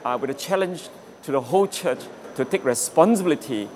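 A man's voice: on the high side, expressive, fairly quick, a little mellow, slight background noise. A man in an interview at a conference, speaking emphatically with small restarts. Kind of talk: interview comment.

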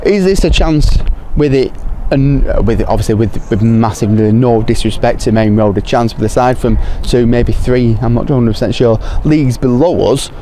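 An English club TV interviewer asks chatty, conversational questions after a match. His voice is mellow and mid-pitched. Faint noise drifts around him outdoors. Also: normal speed, hardly any pauses. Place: floodlit football ground at night, outdoors.

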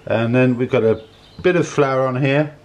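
A man in his sixties giving a casual, unhurried cooking demonstration in a home kitchen, often repeating his phrases as he goes. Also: medium pitch, a little mellow. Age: 60s. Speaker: home cook, presenter. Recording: clattering dishes, clicks, kitchen noise.

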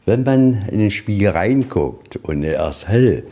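A man with a low, mellow voice leads an informal museum tour. He narrates in a folksy way, putting questions and answering them himself. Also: expressive, unhurried. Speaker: museum guide. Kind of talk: informal guided tour storytelling. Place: inside a museum.

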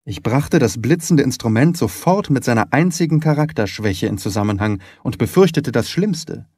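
A man narrates an audiobook in a scripted, literary style. His voice sits at a medium pitch, and the sound is dry and close. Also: lively intonation, moderate pace, darkish tone, breathy edge, no echo.

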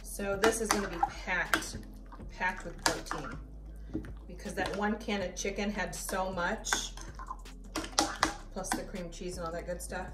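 A woman's voice: fairly low pitch, fairly even intonation, measured pace, long pauses. Chatty woman in an echoey kitchen. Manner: chatty, easygoing, tastes as she goes. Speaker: cooking vlogger. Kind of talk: casual cooking demo. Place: home kitchen. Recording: echoey kitchen, voice a bit far from mic, background noise.

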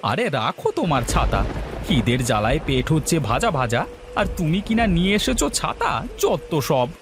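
A man's voice: a little high, sing-song, normal speed, soft background music. A man playing an exasperated, hungry village farmer husband who complains dramatically in a comedy cartoon. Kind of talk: Bengali comedy cartoon dialogue.

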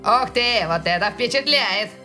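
A woman's voice: on the high side, lively intonation, a little slow, over music. A woman voicing a spirited teenage girl in a fantasy cartoon dub. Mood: animated. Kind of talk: animated cartoon dub acting.

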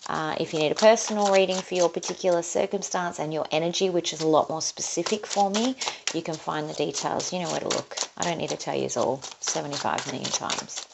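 A woman's voice: low voice, fairly quick, gravelly, background noise. Chatty Australian woman reading tarot cards. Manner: chatty, thinking aloud while drawing cards. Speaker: tarot reader. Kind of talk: casual tarot reading to camera. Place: indoors at a table, overhead camera on her hands.

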